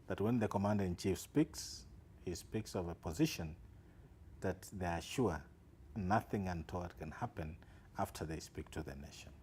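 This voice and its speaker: A man speaking as a security analyst on a television news interview, with a low, dark voice. He explains with assurance and lifts certain phrases for emphasis. Faint noise sits behind the studio recording. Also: normal speed.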